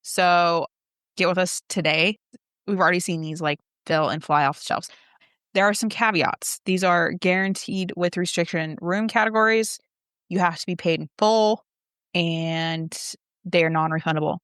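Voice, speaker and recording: Chatty woman cohost, smooth clear voice, a bit low, says like a lot, dry sound. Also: steady tone, normal speed, a bit bright, no room sound. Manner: chatty, peppers speech with "like". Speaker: podcast co-host and travel agent. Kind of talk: casual podcast chat.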